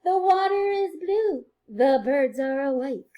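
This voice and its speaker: A woman with a high voice reads a picture book to young children as their teacher. Her delivery is playful and sing-song, swinging widely in pitch. She moves between expressive reading and softer, chatty asides to her listeners. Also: measured pace, muffled recording. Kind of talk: animated children's read-aloud with chatty asides.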